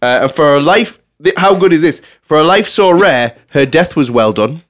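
Giggly man hosting a comedy podcast, higher voice. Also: lively intonation, normal speed. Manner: giggly, often breaking into laughter while reading. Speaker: podcast host reading out listener submissions. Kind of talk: casual comedy podcast banter.

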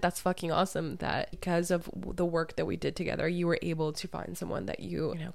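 Hoarse young woman, gravelly low voice, dry close sound, candid casual podcast chat. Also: no room sound. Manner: candid, swears freely, laughs easily. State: hoarse, losing her voice from illness. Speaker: podcast host and online dating coach.

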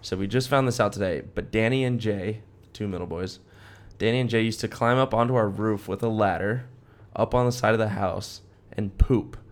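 A young American man with a fairly low voice, co-hosting a podcast. He talks in loose, slangy banter, peppering his sentences with bro and dude like he is chatting with a friend. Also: normal speed. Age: young adult. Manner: slangy, bro-heavy, informal talk. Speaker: podcast co-host.

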